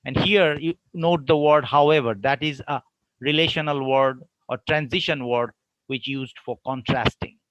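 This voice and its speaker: A man giving an online academic lecture, explaining with deliberate pauses between phrases. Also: medium pitch, fairly even intonation, a bit bright, muffled recording.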